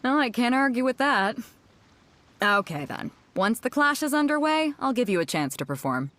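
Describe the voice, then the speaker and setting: A woman's voice: on the high side, very animated, normal speed, a bit bright, slight background noise. A woman voicing a boisterous, confident female ship captain in a game cutscene, brash and teasing.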